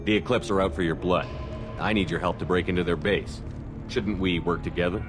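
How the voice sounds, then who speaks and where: A man acting as a cool-headed human commander in a video game, direct, businesslike and even-tempered. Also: deep voice, fairly quick, over music, close to an everyday voice.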